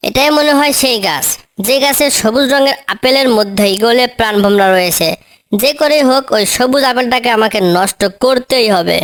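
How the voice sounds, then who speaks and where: High-pitched, coaxing little bird played by a woman. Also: fairly quick, natural voice. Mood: secretly determined. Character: small clever female tailorbird, helpful, wheedling niece. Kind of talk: children's cartoon story dialogue.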